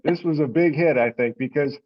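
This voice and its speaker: A man's voice: a little high, brisk. A man giving an informal, rambling, folksy update to a group on an online call. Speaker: presenter on a hobbyist teleconference. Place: online teleconference. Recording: dull, lo-fi conference call audio.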